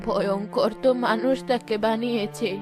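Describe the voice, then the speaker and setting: A grave old village grandmother played by a woman in a cartoon drama. She speaks solemnly and mysteriously, like a keeper of dark secrets, over background music. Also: a little high, fairly even intonation, moderate pace, lightly acted.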